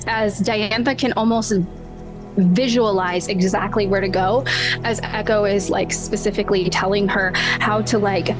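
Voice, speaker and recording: A woman's voice: mid-range voice, fairly even intonation, a little slow. A woman playing a tabletop game, improvising descriptions of the action in a hesitant, informal way. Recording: music behind the voice. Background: music.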